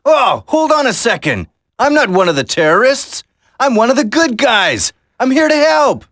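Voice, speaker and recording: An actor playing an earnest, determined young special agent in a video game, speaking dramatically. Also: male voice, very high-pitched, big pitch swings, moderate pace, fairly bright tone, no echo, clean studio sound, full character voice. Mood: firm, earnest. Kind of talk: video game dialogue acting.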